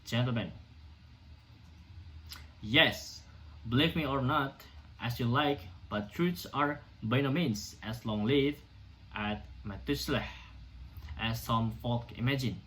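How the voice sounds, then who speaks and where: A man playing a defiant, indignant doctor orating to a hostile crowd in an amateur dramatic reading of a stage play, emphatic and sometimes halting. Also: mid-range voice, a bit bright, poor audio. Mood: lecturing, scornful. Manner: emphatic, declamatory, halting in places.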